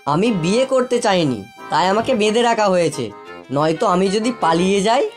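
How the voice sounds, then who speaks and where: A woman voicing a sly, greedy, quick-witted fox in an animated children's folktale, with a wheedling storybook delivery. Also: medium pitch, faint music behind, lightly acted. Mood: sly, coaxing.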